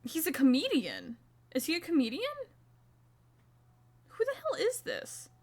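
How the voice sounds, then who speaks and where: Chatty young woman streamer, fairly high. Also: wildly swooping pitch, a little slow, darkish tone. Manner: chatty, self-mocking, swears casually. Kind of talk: casual live-stream chat while crocheting.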